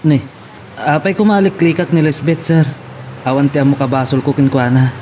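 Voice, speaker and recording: A man's voice: a little high, fairly even intonation, moderate pace, mellow, close to an everyday voice. A man playing a timid, evasive employee who sounds baffled and hesitant in an Ilocano audio drama, with an Ilocano accent. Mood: flustered, nervous. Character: flustered, evasive, timid male employee under pressure. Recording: clear background hiss and noise.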